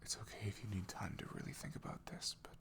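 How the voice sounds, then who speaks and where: A man playing an apologetic, vulnerable ex-boyfriend in an intimate ASMR roleplay, with a breathy half-whisper and a hesitant, emotional delivery. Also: quick pace, a bit bright, lightly acted. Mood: tearful, longing.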